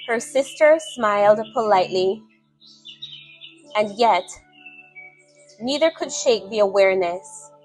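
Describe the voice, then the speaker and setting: A woman narrating a scripted dramatic story in a solemn, measured manner, in short phrases. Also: mid-range voice, fairly bright tone, rough recording.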